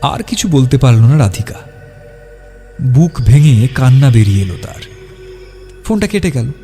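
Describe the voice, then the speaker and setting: A man's voice: medium pitch, lively intonation, normal speed, warm tone. A man narrating a scripted horror story in a dramatic, suspenseful storytelling style. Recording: music behind the voice. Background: music.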